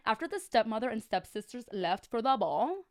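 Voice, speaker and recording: A woman's voice: fairly high pitch, big pitch swings, moderate pace. A woman reading children's stories aloud in a comic, casual way, with sarcastic, chatty asides and slangy Spanish interjections. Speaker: storyteller on a livestream.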